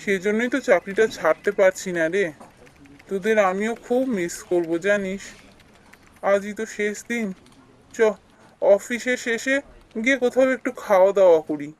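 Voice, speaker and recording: A man's voice: high-pitched, moderate pace, pauses often, close to an everyday voice, low-quality recording. A man voicing a friendly, downhearted big-brother office colleague in an emotive, familiar manner for a cartoon story. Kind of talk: animated cartoon story acting.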